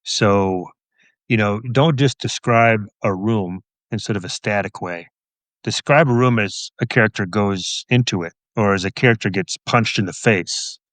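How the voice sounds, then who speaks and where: A middle-aged man chats as a guest author in a fairly low voice. His delivery is casual, measured and understated. The recording is dry, with hardly any room around him. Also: fairly bright tone, no room sound. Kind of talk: casual chat.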